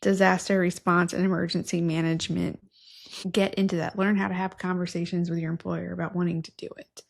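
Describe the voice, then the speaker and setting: A woman's voice: fairly low pitch, level tone, a little fast, creaky. A woman in her thirties co-hosting a casual podcast, earnest and enthusiastic, talking into a studio mic in an indoor room. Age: 30s. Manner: earnest, enthusiastic, self-described nerd. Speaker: podcast co-host. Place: indoor room with patterned wallpaper. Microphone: studio mic on stand, wearing headphones.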